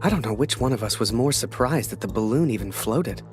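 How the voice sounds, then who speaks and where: Guy playing tired young war photographer, mid pitch, rueful first person narration for a game, music underneath. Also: animated, brisk, close to an everyday voice. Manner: rueful, introspective. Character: weary, disillusioned young male war photographer. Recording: music behind the voice.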